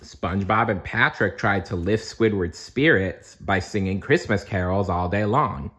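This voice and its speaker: A man reading a children's storybook aloud as narrator, in an expressive, dramatic storytelling manner. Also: lowish voice, measured pace. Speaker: storybook reader.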